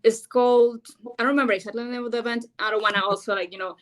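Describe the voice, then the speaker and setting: A woman's voice: a little high, steady tone, rapid-fire. A woman from Ecuador with an Ecuadorian Spanish accent, a news anchor giving earnest, unscripted interview answers full of fillers. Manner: earnest, thinking aloud with many fillers.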